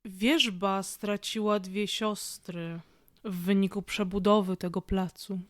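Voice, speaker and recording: A woman playing a calm, protective stone statue of a goddess in an audio drama, speaking in a measured way. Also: medium pitch, lightly acted. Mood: calm, explaining.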